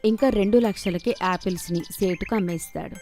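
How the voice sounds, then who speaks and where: A woman narrates a scripted tale for children. Her pitch is medium for a woman. Soft music with light chimes plays behind her. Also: brisk. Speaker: storyteller.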